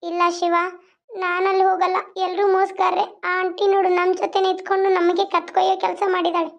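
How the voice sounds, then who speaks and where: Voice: extremely high pitch, level tone, vocal fry. A performer voicing a frightened young village woman who pleads and protests. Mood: distressed. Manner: quick, pleading, distressed. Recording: dull lo-fi sound.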